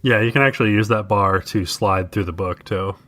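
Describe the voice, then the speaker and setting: Deep-voiced man in casual discussion. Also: fairly quick, a bit bright. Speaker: podcast co-host.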